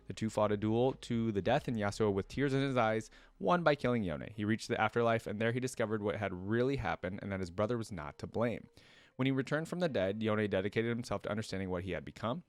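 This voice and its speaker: A man hosting a reaction video, reading lore aloud and breaking in with informal, off-the-cuff commentary as he thinks out loud. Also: on the low side, no echo. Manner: informal, thinks aloud between read passages.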